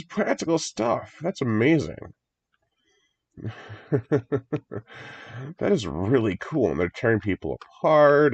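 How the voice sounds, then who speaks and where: A man's voice: medium pitch, creaky, breathy edge, dry recording. A man with a congested voice from a recent cold, chatting eagerly and rambling as he reacts to a horror movie trailer. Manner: enthusiastic, rambling, chuckles often. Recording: dry, dull lo-fi home recording.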